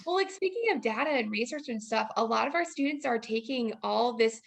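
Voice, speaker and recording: A woman's voice: fairly high pitch, expressive, fairly quick, gravelly. A woman in her early twenties chatting casually as a guest student teacher. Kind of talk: casual chat.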